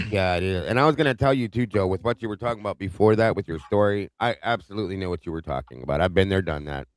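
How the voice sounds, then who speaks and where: Low-voiced blunt man, dry mic. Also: quick pace, clean tone, no echo. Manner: blunt, plain-spoken, gives his opinion flatly. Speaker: co-host of a true crime livestream. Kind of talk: casual livestream chat.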